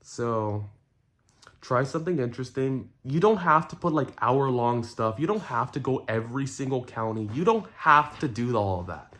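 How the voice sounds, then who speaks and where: A man who creates online content, talking casually and earnestly to his viewers in a halting way, repeating phrases for emphasis. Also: mid-range voice, animated, a bit bright. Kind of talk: casual explanatory rant to viewers.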